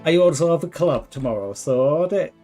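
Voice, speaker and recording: A man streaming a game live with a worn, hoarse voice, thinking out loud and chatting with viewers. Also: on the high side, expressive, brisk. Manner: thinks aloud, chats with viewers. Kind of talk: relaxed live gameplay commentary. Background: faint background music.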